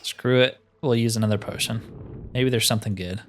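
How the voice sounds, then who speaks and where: A man's voice: medium pitch, fairly even intonation, normal speed, a little hiss or hum. A man giving casual playthrough commentary as a video game host, thinking aloud with self-deprecating humor. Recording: music behind the voice. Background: game music.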